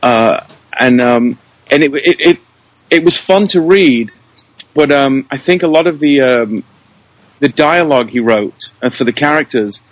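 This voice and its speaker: A man talking casually as an interview guest, thinking aloud and pausing as he works out his answers. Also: mid-range voice, expressive, no echo, poor audio. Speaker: interview guest, show creator, writer and director.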